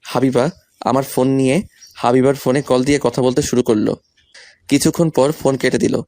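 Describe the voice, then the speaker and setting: A man's voice: mid-range voice, fairly even intonation, normal speed, a little mellow, no echo. A young man reading a scripted first-person romantic story, voicing the male lead's lines as well. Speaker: story narrator and male lead.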